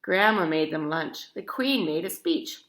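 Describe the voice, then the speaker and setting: Animated, bright-voiced woman reading a storybook. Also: low-pitched, measured pace. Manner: theatrical, emphatic. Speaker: storybook reader. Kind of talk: expressive children's story read-aloud with chatty asides.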